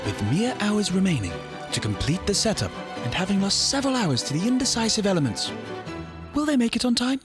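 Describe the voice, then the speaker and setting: Animated woman narrator with big pitch swings. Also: a little fast, music in the background. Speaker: show narrator. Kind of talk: scripted reality TV narration.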